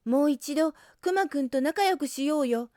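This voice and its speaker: A woman's voice: high voice, moderate pace, warm tone, dry recording, clean studio sound, voice pushed up, lightly acted. A woman voicing a kind, earnest young male rabbit in a storybook, coaxing and emphatic. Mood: urgent, reassuring.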